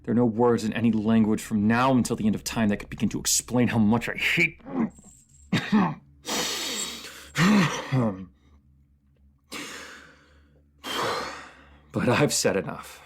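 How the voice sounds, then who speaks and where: A man playing a proud, bitter captive ruler in a fantasy audio drama, speaking in formal, haughty, taunting phrases with a gravelly voice. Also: medium pitch, animated, unhurried, pauses often. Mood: bitter, guarded. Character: proud captive enemy ruler, sardonic, bitter, secretly admiring.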